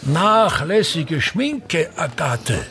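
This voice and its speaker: An imperious, self-pitying old stage actor. His voice sits fairly high and swings widely in melody. He declaims at a very slow, savoring pace, repeating himself like a tyrant in a radio play. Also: big pitch swings, bright, forceful tone, slight background noise, heavily acted. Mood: imperious, nagging. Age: older. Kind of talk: theatrical radio drama monologue.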